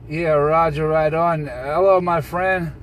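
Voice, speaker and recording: Higher-voiced man chatting over noisy CB radio. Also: steady tone, takes it slowly. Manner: repeats his phrases, calls people "man" and "brother". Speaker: CB radio operator. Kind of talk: casual CB radio chat.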